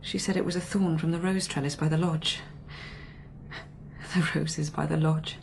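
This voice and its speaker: A woman's voice: sits low, steady tone, normal speed, creaky, a little hiss or hum. An actress playing a tense, guarded young upper-class woman in a radio drama, clipped and haunted.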